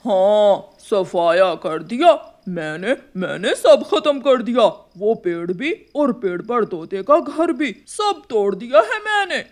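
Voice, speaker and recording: A woman's voice: medium pitch, sing-song, takes it slowly, few pauses, darkish tone. A woman voicing a greedy, boastful crow bully in a children's cartoon, with a drawling, gloating manner. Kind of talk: children's cartoon villain acting.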